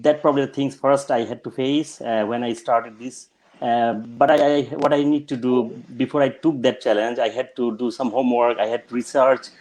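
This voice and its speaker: A man from Bangladesh, a general practitioner talking as a guest on an online panel, pausing often with ums and uhs. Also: medium pitch, a little mellow, a little hiss or hum, low-quality recording. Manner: hesitant, many ums and uhs, restarts sentences. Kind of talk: casual panel chat.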